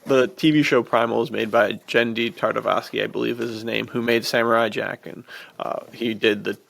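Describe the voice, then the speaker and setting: Heavily creaky man in casual podcast chat. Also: mid-range voice, steady tone, a little fast, fairly bright tone. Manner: laid-back slangy wording, enthusiastic. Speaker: podcast co-host.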